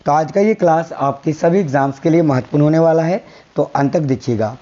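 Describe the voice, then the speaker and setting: A hoarse man teaching a quiz-style science lesson for exam prep, repeating each answer and telling viewers to remember it. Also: a little high, a little fast, warm tone, lo-fi sound. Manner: repeats answers, coaxing "याद रखिएगा".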